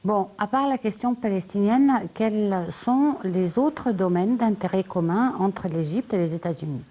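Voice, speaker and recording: A woman interviewer poses questions in a news interview. Her voice is medium in pitch, with a dark, relaxed tone. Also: measured pace, lo-fi sound.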